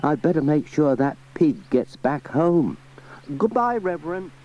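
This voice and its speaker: A man's voice: on the high side, expressive, a little slow, voice pushed up. A man voicing a modest, friendly village postman in a storybook, speaking hesitantly. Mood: matter-of-fact. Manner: hesitant, apologetic. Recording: muffled, hissy cassette transfer.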